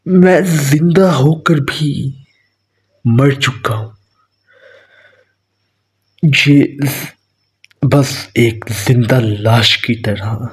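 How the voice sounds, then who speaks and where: Man acting a husky, very slow-speaking vampire king. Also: mid-range voice. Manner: aloof, condescending, slow deliberate delivery. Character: cold, menacing young male vampire king at university. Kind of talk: dramatic romantic ASMR roleplay acting.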